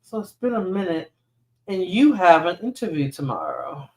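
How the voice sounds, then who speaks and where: A woman's voice: lowish voice, huge pitch swings, moderate pace, a little mellow. A woman with a New York accent, Spanish as her first language, hosting a casual livestream and rambling through replies to viewer comments. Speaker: livestream host.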